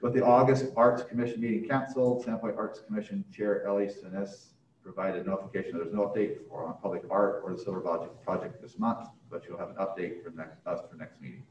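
A man's voice: sits low, flat delivery, fast, darkish tone, slight background noise. A man chairing a board meeting, formally reading out agenda items, picked up by a distant mic in an echoey, muffled room. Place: indoor council chamber. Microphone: distant room microphone on a video call.

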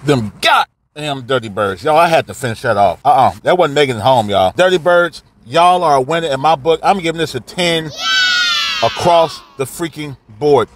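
Animated man with a highish voice. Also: moderate pace, faint music behind. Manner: animated, slangy, profane, talking while eating. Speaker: food review vlogger. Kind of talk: casual food review to camera. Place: inside a parked car. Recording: clear background noise in a car.

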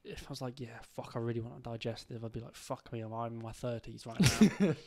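A man's voice: medium pitch, steady tone, fast, darkish tone. A young man co-hosting a casual podcast, blunt and sweary with a dry manner. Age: young adult. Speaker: podcast co-host. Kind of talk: casual banter. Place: small home room. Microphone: studio mic, headphones on.

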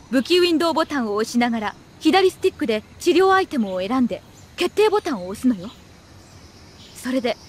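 A woman voicing a composed, firm medic in a video game, giving clear scripted instructions to a wounded soldier. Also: sits high, a little hiss or hum.

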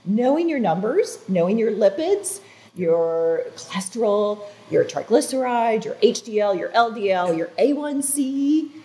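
A woman's voice: on the high side, unhurried. An older woman, a wellness guest on a studio talk show, explaining health advice earnestly with restarts and "so" and "um", recorded on a lapel mic. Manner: earnest, explanatory, animated hand gestures. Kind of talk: informative interview talk. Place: TV studio set.

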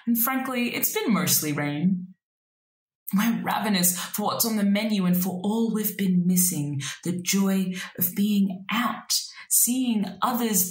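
A woman in her thirties, a native Arabic speaker, reading her own poem aloud in a slow, measured, reflective way at a desk webcam in a small room. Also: lowish voice, echoey room. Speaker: poet. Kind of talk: spoken word poetry reading.

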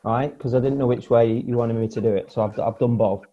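A man in his forties chatting casually as a guest on a video call, relaxed and rambling, with plenty of fillers and restarts. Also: mid-range voice, brisk, a little hiss or hum. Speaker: guest, former footballer. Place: home room, indoors. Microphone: earphone mic on a video call. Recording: dull lo-fi video call audio with faint music.

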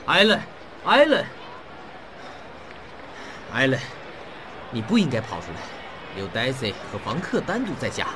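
A man's voice: medium pitch, wildly swooping pitch, measured pace, pauses often, noisy background. An actor playing an anxious, protective middle-aged husband and father in a radio drama, speaking in an urgent, agitated way. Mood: alarmed, scolding. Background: dramatic music.

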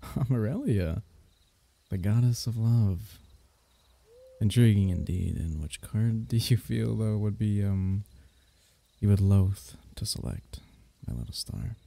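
A man playing an affectionate, teasing royal diviner in an intimate fantasy ASMR roleplay, speaking haltingly with courtly wording. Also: fairly low pitch, exaggerated voice. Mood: gentle, reassuring. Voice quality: mellow, gravelly.

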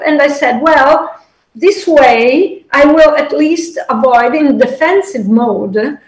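An older woman with an Italian accent speaks over a video call from a small room at home, and her voice bounces around the space. She sits fairly high in pitch and works through ideas slowly, qualifying and restating as she goes. It sounds like a thoughtful academic conversation. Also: echoey room, rough recording. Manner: searching, qualifying phrasing with soft laughs. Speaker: interview guest, scholar.

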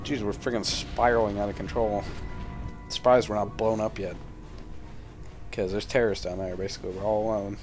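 A man's voice: on the low side, flat delivery, fairly quick, pauses often, music in the background. A young man giving casual, sarcastic and sweary commentary while playing a video game. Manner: sarcastic, profane running commentary. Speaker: gaming video host.

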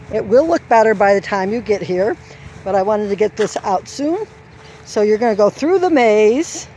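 Slow-talking woman on an outdoor walk-through. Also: on the high side, darkish tone. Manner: unhurried, walking while explaining, stops to correct herself. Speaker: course designer giving a walk-through. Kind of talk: instructional course walk-through. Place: outdoor horse trail course. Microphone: handheld phone camera. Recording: faint outdoor noise, sometimes far from the mic.

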